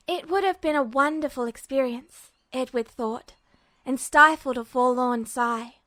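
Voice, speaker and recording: A woman reading fan fiction aloud as a podfic, in steady storytelling narration. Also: sits high, no echo. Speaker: podfic reader.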